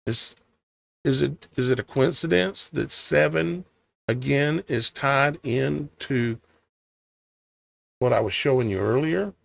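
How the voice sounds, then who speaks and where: Tired gravelly man musing over scripture. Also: mid-range voice, no room sound. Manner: thinking aloud, questioning, hesitant restarts; reads old scripture in a measured cadence. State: tired, voice starting to go. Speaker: online preacher. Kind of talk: scripture reading with musing commentary.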